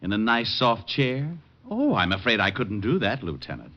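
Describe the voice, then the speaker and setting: A cocky, deep-voiced American private eye. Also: male voice, very animated, moderate pace. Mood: sarcastic, aggrieved. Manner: sardonic, flippant. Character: wisecracking, cocky male private detective. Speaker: actor playing the lead detective. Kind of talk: 1940s-50s radio detective drama acting. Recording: muffled old radio recording with music.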